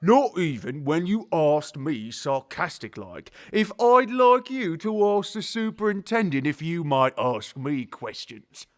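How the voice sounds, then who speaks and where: An actor playing a grumpy but genial elderly zookeeper, telling things bluntly in rambling colloquial speech for an audio drama. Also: male voice, fairly high pitch, sing-song, a little slow, hardly any pauses. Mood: gruff, philosophical. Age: older. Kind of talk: audio drama character acting.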